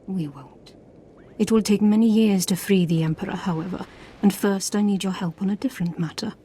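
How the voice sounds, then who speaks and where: An actress playing a secretive, calmly commanding female cult leader in a video game, solemn and measured. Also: medium pitch, slightly rough, natural voice. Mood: conspiratorial, commanding.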